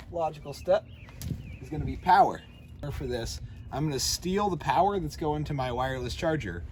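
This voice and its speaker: A man's voice: mid-range voice, darkish tone. A man giving a casual hands-on tutorial, talking informally and thinking aloud as he works. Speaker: DIY truck vlogger. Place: in or around a pickup truck. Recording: clearly noisy, vehicle ambience.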